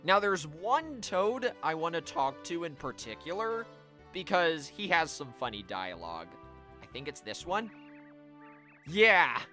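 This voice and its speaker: A man live streaming a video game, chatting to viewers and commenting in an animated way. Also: fairly high pitch, measured pace, faint music behind. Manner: animated, voice-acts game dialogue.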